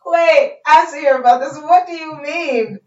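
A woman's voice: sits high, normal speed, darkish tone. A woman guest on a podcast, chatting casually, laughing often and full of fillers. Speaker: podcast guest, radio host. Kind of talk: casual interview chat. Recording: echoey, dull, distant mic.

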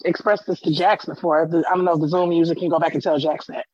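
A woman guest chatting on a video call, with a loud voice that carries, speaking through headphones. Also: on the low side, fairly even intonation, fast, breathy edge, no echo, dull audio. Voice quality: loud, carrying voice. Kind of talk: casual chat on a video call. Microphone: headphones with mic on a video call.

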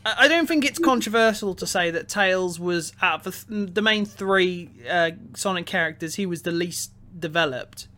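High, bright-voiced man, stammering a bit. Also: moderate pace, hardly any pauses. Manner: hesitant, stammering, repeats words. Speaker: podcast co-host, film reviewer. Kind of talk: casual chat about film.

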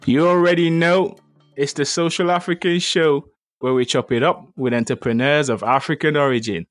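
A man's voice: a little high, steady tone, normal speed, soft background music. Upbeat man hosting a podcast. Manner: upbeat, hype-style, playful. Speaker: podcast host. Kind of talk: podcast interview.

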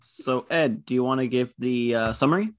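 A man's voice: medium pitch, moderate pace. A young man co-hosting a casual podcast, joking and teasing the others. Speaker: podcast co-host. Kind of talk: casual chat.

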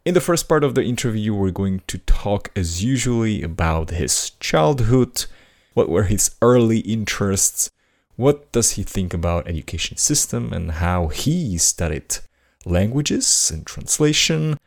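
A man hosting an interview, introducing his guest and asking questions with lively emphasis. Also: fairly low pitch, a little slow. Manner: lively, rising intonation on key words. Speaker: interview host.

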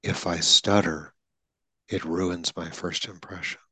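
A man's voice: deep voice, fairly even intonation, slow pace, bright, forceful tone, husky, no echo, poor audio. A man interviewing a guest about stuttering, speaking emphatically and acting out example lines. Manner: emphatic, gives vivid examples and acts out lines. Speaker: interviewer, speech coach who stutters. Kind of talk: coaching conversation.

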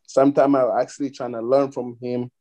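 A male soccer player speaks earnestly in simple phrases, with English as an additional language. His voice is mellow and fairly level, and sits a little low. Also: flat delivery, a little fast. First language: non-English first language. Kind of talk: casual podcast chat.

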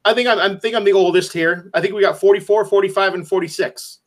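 A man's voice: sits high, steady tone, quick pace. A man in his forties hosting a casual chat, hesitant with lots of fillers and self-deprecating. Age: 40s. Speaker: podcast host.

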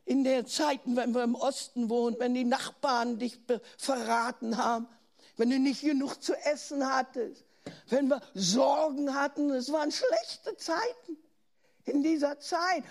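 Older German woman preacher, earnest and questioning, high-pitched, unhurried delivery. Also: gravelly. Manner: earnest, asks the listener questions, restarts words. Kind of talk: sermon.

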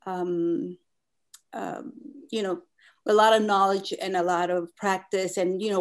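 A woman's voice: medium pitch, darkish tone. Mexican-accented woman in her fifties. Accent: Mexican Spanish. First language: Spanish. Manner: thoughtful, many fillers and restarts. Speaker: artist guest. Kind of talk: conversational interview.

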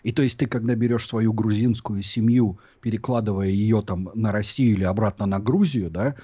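Husky, mellow low-voiced man. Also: fairly even intonation, moderate pace. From Russia. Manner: reflective, explanatory, many fillers. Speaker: YouTube channel host. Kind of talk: casual podcast chat. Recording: dull lo-fi sound.

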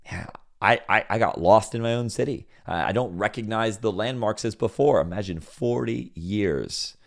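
Deep-voiced preacher building to loud peaks. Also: male voice, normal speed, vocal fry. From Senegal. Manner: builds to loud emphatic peaks, repeats phrases. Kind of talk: sermon with scripture reading.

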